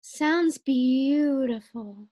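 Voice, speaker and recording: A woman's voice: high-pitched, extremely slow, dark tone, full character voice. A woman acting a scheming, cheerful, scatterbrained housewife in a 1940s-style radio sitcom. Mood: playful.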